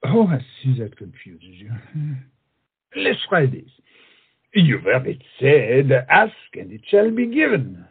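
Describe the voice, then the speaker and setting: Pompous duellist, high and gravelly, theatrical comic delivery, phone-like muffled sound. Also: male voice, big pitch swings, takes it slowly, heavily acted. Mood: mocking. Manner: theatrical, mocking, peppers speech with French phrases. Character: pompous, flamboyant big-nosed French swordsman, witty and proud. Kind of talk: comic stage play acting. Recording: muffled, tinny stage play recording.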